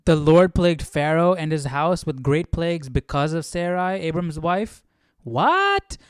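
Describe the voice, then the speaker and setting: A man's voice: fairly high pitch, very animated, unhurried, clean tone, no echo, clean studio sound. A man hosting and reacting casually to a reading, with exclamatory, conversational asides. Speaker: host.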